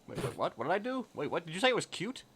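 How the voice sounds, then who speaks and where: A man's voice: fairly high pitch, very animated, rapid-fire, a bit bright, slightly rough. A man talking casually and hesitantly on a roleplay livestream, often repeating himself. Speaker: streamer roleplaying.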